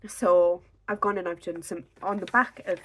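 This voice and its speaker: A young woman with a slightly raspy, croaky voice, chatting casually to a camera on a tripod at home, giving pregnancy advice. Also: lowish voice, fairly even intonation, moderate pace, a bit bright. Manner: chatty, filler-heavy, reassuring. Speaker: pregnancy vlogger. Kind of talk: casual instructional talk to camera.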